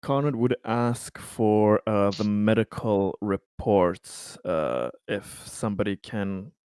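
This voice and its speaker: A man with a lowish voice takes part in a tabletop roleplaying game. He casually describes his character's actions in halting phrases, with very little room sound around him. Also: a little mellow, clear, resonant tone, no room sound, clean studio sound.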